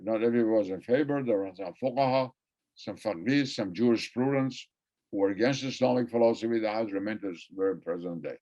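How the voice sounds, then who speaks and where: An older man whose first language is Persian delivers an academic lecture in a rather low voice. He talks in a discursive way and circles back over his own phrases to stress them. The recording sounds dull and lo-fi. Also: fairly quick, mellow. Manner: discursive, repeats phrases for emphasis. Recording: muffled lo-fi recording.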